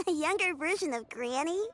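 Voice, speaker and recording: A woman's voice: very high voice, a bit bright, full character voice. A woman voicing a cheerful, polite and caring young girl in a video game. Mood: fond, curious. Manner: polite, earnest, chatty. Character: cheerful, caring young girl looking after her granny. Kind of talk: video game character acting.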